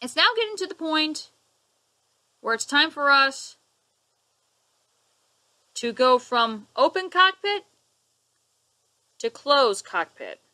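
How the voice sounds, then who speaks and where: A young woman vents into her webcam from her living room, sarcastic and indignant. Her voice is high, bright and edgy, and it leaps up and down as she hammers her point home. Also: very animated, slow pace, long pauses. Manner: indignant, sarcastic, gestures a lot. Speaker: vlogger. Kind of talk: ranting webcam vlog. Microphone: webcam at close range.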